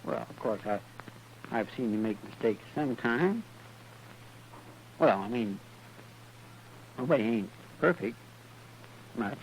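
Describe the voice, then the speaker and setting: A man's voice: medium pitch, lively intonation, slow, pauses often. A man playing a loyal, simple frontier deputy in a radio western, with a rural drawl and a rambling, stammering folksy manner. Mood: eager, earnest. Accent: American rural Southern or Western drawl. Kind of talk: 1950s radio western acting. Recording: noisy old radio recording.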